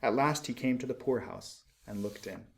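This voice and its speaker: A man reading a storybook aloud as scripted narration, in an expressive, measured storytelling manner. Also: medium pitch. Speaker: audiobook reader.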